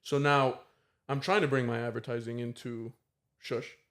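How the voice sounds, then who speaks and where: A man's voice: medium pitch, fairly even intonation, normal speed, fairly bright tone. American male band member, mid-range voice, asks questions and thinks aloud. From United States. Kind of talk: casual podcast chat.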